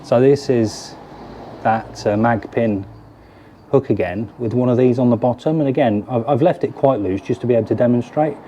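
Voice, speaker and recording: A man's voice: mid-range voice, moderate pace, dark tone, lo-fi sound, a little hiss or hum. A British man in his forties, chatting casually as he demonstrates fishing lures to camera from a garden shed. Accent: British English. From United Kingdom. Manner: chatty, hands-on, explains while fiddling with lures. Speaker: fishing tackle presenter. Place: garden cabin or shed, indoors.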